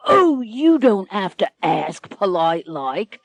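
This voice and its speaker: A woman reads as a leering one-eyed pirate captain, a man who drags out his threats slowly and loudly. His voice sits a little higher than her usual narration. The recording is dry and close. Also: very animated, no echo, exaggerated voice. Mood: menacing.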